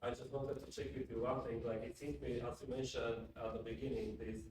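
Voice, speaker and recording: A man's voice: mid-range voice, level tone, brisk. A man in a book club discussion, hesitantly searching for his words. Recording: echoey room from far off, noisy.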